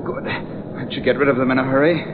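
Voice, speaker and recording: A man's voice: mid-range voice, natural voice. An actor playing a tense, callous gangster henchman in an old radio drama. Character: defensive, callous male gangster henchman with a machine gun. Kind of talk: 1940s radio acting. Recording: tinny noisy radio recording, car engine behind.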